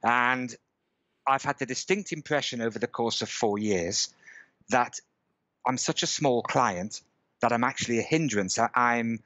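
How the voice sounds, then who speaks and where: A man's voice: medium pitch, measured pace, pushed and bright, dry recording. Middle aged guy on a headset video call, chatty, keeps saying "right?", kinda muffled dry sound. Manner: chatty storyteller, stops often to check in with "okay?" and "right?". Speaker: guest. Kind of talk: casual chat on a video call. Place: home room with bookshelves.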